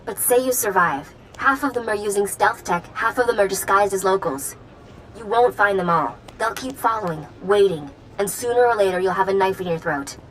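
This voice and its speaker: An actress playing an earnest, resourceful informant in a video game, speaking in short, clipped sentences. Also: female voice, medium pitch, moderate pace, a bit bright, a little hiss or hum, natural voice, poor audio. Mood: urgent warning.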